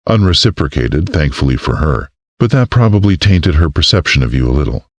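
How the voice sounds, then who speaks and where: A man's voice: extremely low pitch, big pitch swings, no room sound, full character voice. Male reader doing a commanding woman mayor, clipped, dry booth sound. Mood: reassuring. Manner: brisk and commanding. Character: firm woman town mayor, no-nonsense.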